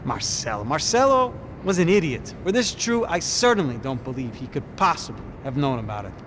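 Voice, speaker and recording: An actor playing a cynical police detective in a game, speaking in a sardonic, knowing way. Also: male voice, on the high side, very animated, fairly quick. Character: sly, cynical Brazilian police detective, man. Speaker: police officer. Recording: game scene with loud street traffic.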